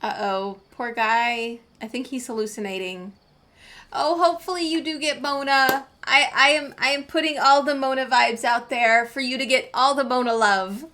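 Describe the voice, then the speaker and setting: A woman's voice: sits high, normal speed, pushed and bright, slight background noise. A woman streamer giving casual, enthusiastic live game commentary and chatting to her viewers. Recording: game music behind voice.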